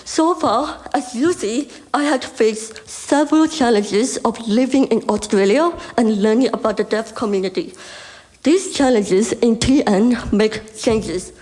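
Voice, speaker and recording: A woman's voice: fairly high pitch, darkish tone, poor audio. Young woman, careful hard-of-hearing speech. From Burma. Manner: careful, deliberate delivery with small restarts. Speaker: conference speaker. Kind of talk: prepared speech.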